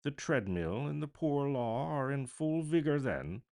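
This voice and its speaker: A man's voice: medium pitch, lively intonation, a little slow, creaky, darkish tone, pitched above the performer's own voice. A man doing a scornful old miser, swooping emphatically. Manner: curt, contemptuous, emphatic questioning. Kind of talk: storybook character reading.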